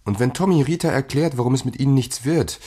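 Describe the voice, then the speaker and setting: A man's voice: medium pitch, lively intonation, fast talker, dark tone, breathy edge. An actor playing a brash male writer who talks in slang, in a radio play.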